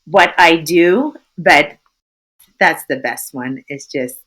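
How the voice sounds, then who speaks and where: A woman from Canada with a friendly, sing-songy voice, a guest telling lively stories in a casual interview and laughing often. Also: sits low, slow pace. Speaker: tour business owner, guest.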